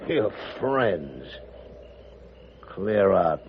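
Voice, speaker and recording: An actor playing a brutal, bullying railroad foreman in an old radio western, barking menacing orders in a gravelly voice. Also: deep voice, big pitch swings, very slow, heavily acted. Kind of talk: 1950s radio western acting. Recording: noisy, dull old radio recording.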